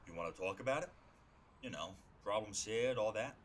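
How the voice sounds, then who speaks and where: A man's voice: lowish voice, animated, moderate pace, a little hiss or hum, close to an everyday voice. A man voicing a brash but friendly streetwise hitman in a comic dub, talking in a casual, slangy, blurting way. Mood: sympathetic. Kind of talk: dramatic comic-book dub dialogue.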